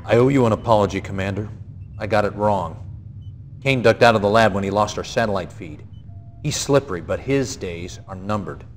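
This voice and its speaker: An actor playing a stern, earnest military general, giving a grave, emphatic mission briefing in a video game. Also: male voice, lowish voice, a little fast, soft background music, lightly acted. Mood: apologetic, determined. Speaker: military commanding officer.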